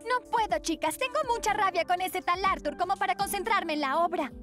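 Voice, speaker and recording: Very high voice, angry teenage cartoon schoolgirl, dramatic, expressive, light music behind. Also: fairly quick. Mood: exasperated. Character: teenage schoolgirl Clara, dramatic and angry over a rival boy.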